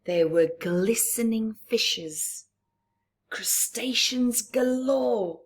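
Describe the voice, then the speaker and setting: A woman reading a rhyming picture book aloud at story time, stopping to chat with young listeners and dropping into whispers. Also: on the high side. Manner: slow rhyming read-aloud with chatty asides to young listeners; drops to breathy whispers. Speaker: storybook reader.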